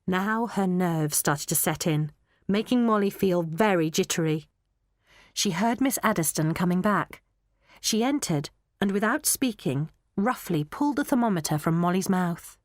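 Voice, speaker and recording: A woman narrating a children's audiobook in a measured, even way. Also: fairly low pitch, no room sound, clean studio sound. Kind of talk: storybook narration.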